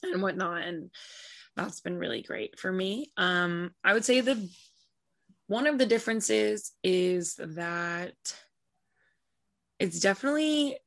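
A woman's voice: medium pitch, slow pace, gravelly, long pauses. A woman soccer player answering interview questions casually, with lots of "like" and "you know". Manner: relaxed, filler-heavy speech with many "like" and "you know".

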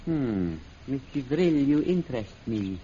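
An actor playing a menacing, calculating foreign jungle prince with formal, measured, imperious speech in an old radio serial. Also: male voice, medium pitch, lively intonation, mellow. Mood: suspicious, cool. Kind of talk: 1930s American radio serial acting. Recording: muffled, noisy old radio recording.

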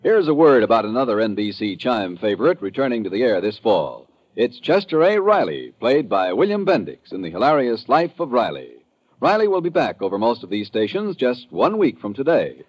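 Animated American male radio announcer. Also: mid-range voice, normal speed, breathy edge, dry recording. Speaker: network announcer. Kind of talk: 1950s radio promo announcing.

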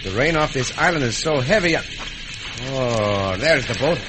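Man playing commanding Mexican adventurer, mid-pitched. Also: lively intonation, moderate pace, close to an everyday voice. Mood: firm, resolute. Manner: firm, formal, careful English. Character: brave, commanding Mexican adventurer hero in a western. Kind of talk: 1940s-1950s radio acting. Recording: noisy old radio drama recording.

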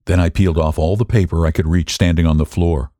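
A man's voice: sits low, fairly even intonation, quick pace, dark tone, no echo, studio quality, lightly acted. A man reading dramatically as an unhinged, secretive, defiant woman narrating a gothic story. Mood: brooding, agitated. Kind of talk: dramatic first-person audiobook reading.

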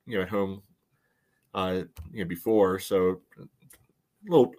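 Male sports podcast co-host, lower register, conversational and halting, padding his analysis with filler phrases. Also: steady tone, a little mellow. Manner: hesitant, filler-heavy phrasing with frequent "you know". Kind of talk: casual soccer analysis chat.

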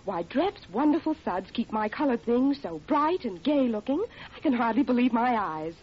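An actress playing a delighted housewife giving an emphatic, gushing testimonial in a 1940s radio commercial. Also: female voice, on the high side, animated, moderate pace, husky. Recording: muffled vintage radio broadcast.

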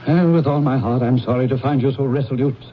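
An actor playing a warm-hearted young gentleman nephew in a radio drama, earnest, hearty and declamatory. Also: mid-range voice, quick pace, natural voice. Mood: cheerful, hopeful. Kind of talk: 1970s radio drama acting. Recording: dull, noisy 1970s radio recording.

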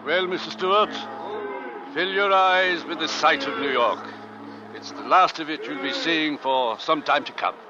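An actor playing a hearty, assured nineteenth-century sea captain in old-time radio drama style. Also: male voice, on the high side, a little slow, lightly acted. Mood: commanding. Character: hearty, assured 1850s sea captain of an Arctic rescue ship. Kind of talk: 1940s-50s radio drama acting. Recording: muffled old radio recording, faint noise.

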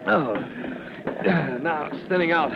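High-voiced young rookie fireman, straining. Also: slow pace. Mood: effortful, reassuring. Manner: effortful, breathy exclamations. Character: earnest, determined young male rookie fireman. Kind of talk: old-time radio acting. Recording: muffled, noisy vintage radio recording.

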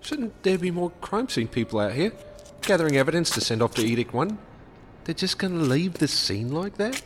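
Man acting an uneasy, high-voiced rookie cop. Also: sing-song, normal speed, soft background music. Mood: uneasy, curious. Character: uneasy, questioning rookie police officer. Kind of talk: audio drama acting.

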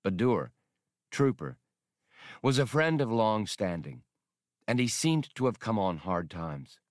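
Male narrator for a fiction audiobook; very low register, dry studio sound. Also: very animated, unhurried, no echo.

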